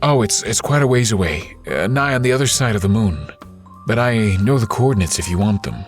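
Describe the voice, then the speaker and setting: A man's voice: lowish voice, animated, brisk, over music, close to an everyday voice. A man playing a modest, hesitant but helpful ice surveyor in a sci-fi audio drama. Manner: hesitant, self-effacing. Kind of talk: audio drama character acting.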